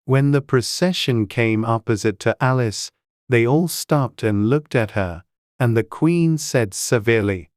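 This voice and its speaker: A man's voice: mid-range voice, lively intonation, measured pace, a little mellow, no echo, studio quality. A man reading scripted storybook narration as an audiobook narrator. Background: faint music.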